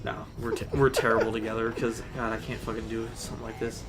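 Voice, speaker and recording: A man's voice: lowish voice, little pitch movement, quick pace. A young man hosting his gaming channel, laid-back and rambling, swearing freely as he chats with a friend. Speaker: channel host. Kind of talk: casual gameplay chat. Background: game music.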